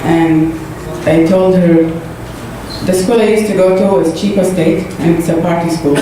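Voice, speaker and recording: A woman's voice: fairly low pitch, steady tone. Woman in an echoey church. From Saudi Arabia. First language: Arabic. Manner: earnest, halting, with fillers. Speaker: guest giving testimony. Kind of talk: personal testimony to a congregation. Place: church hall. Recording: echoey hall, distant, noisy.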